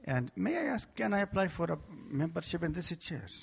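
An Egyptian-accented man speaks with earnest emphasis, his voice gravelly and husky. He addresses a church gathering in slightly broken English. The recording sounds muffled and lo-fi. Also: fairly high pitch, animated, brisk. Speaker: guest speaker. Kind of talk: church testimony. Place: church hall.

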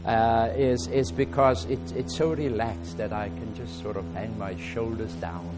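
A man with a South African accent, whose first language is Afrikaans, preaches at an unhurried pace with many pauses and fillers. His voice sits at a middle pitch, and soft instrumental music plays faintly behind him. When he reads scripture he grows louder and more deliberate. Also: steady tone, rough recording. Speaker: guest preacher. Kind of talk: sermon with scripture reading.

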